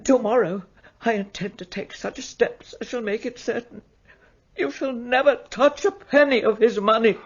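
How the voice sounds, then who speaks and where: A man's voice: very high voice, moderate pace, slightly rough, lo-fi sound, exaggerated voice. An actor voicing a cold, unforgiving older mother who speaks in a stern, formal manner in a radio play. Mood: icy.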